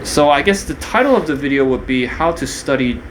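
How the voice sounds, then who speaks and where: A man's voice: fairly high pitch, expressive, a little fast, slight background noise, rough recording. A young man from Korea, partly raised in New Zealand, talking off the cuff in a casual monologue, with many fillers and restarts. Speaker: video creator.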